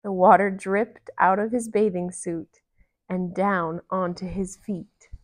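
A woman in her thirties reading a children's story aloud with expressive, deliberate narration. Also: on the low side. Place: living room, indoors on a couch.

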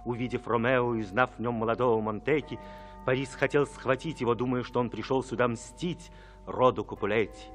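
A man narrating a radio drama from a script in a solemn, measured, dramatic way. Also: medium pitch, steady tone, faint music behind, close to an everyday voice.

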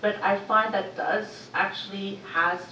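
Loud-voiced woman in an echoey hall. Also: medium pitch, measured pace, far from the mic, a little hiss or hum. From Canada. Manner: loud, lively, talkative. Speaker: audience member. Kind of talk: audience comment at a panel Q&A. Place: university lecture room. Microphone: room mic from a distance.

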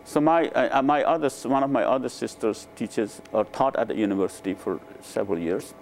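An older man from Nepal, a native Nepali speaker, recounting his life in a relaxed, hesitant way with many fillers, on a lapel mic in a lecture room. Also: mid-range voice, fairly quick, a little hiss or hum. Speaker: interviewed scientist. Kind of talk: relaxed conversational storytelling. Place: lecture room with a small audience.

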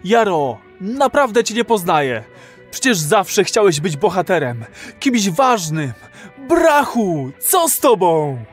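A man's voice: high-pitched, sing-song, slow, soft background music, heavily acted. An actor playing a fervent, persuasive male rebel in an audio drama, speaking emphatically and colloquially. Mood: challenging. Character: fervent, persuasive rebel man urging his friend to act.

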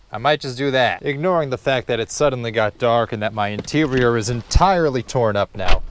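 A man's voice: medium pitch, a little hiss or hum. A man giving casual, hands-on vlog commentary with dry, sarcastic humour and the odd swear word. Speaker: vlogger doing a car installation. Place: in and around a parked car in a driveway.

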